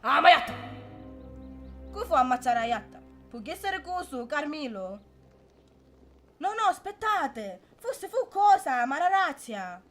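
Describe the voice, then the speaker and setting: A woman's voice: high voice, takes it slowly, pauses often, soft background music, heavily acted. A woman playing a foul-mouthed, hot-tempered character in an amateur parody, ranting coarsely with a Sicilian accent. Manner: coarse, excitable dialect ranting. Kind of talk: amateur dialect film parody.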